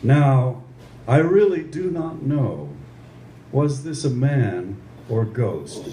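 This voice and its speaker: Older male poet, slow and deliberate live reading, echoey room with faint noise. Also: medium pitch, lively intonation, mellow. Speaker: amateur poet. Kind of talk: poetry reading with informal introduction.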